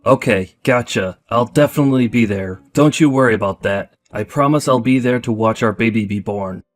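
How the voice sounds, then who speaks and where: A man's voice: medium pitch, moderate pace, faint music behind, close to an everyday voice. A man voicing a young husband who promises his wife he will be back for the birth. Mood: reassuring. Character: reassuring young husband leaving on a trip.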